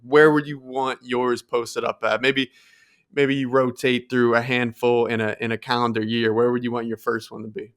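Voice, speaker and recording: A man's voice: medium pitch, level tone, moderate pace, smooth. A man co-hosting a podcast, chatting informally in a meandering way with casual swearing. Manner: informal, meandering, profane, posing questions. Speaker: podcast co-host. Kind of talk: casual chat.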